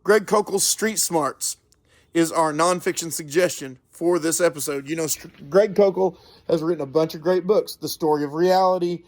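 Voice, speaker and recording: A man hosting a solo podcast in an informal, earnest way, halting and correcting himself as he goes. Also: a little high, a little mellow. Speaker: podcast host.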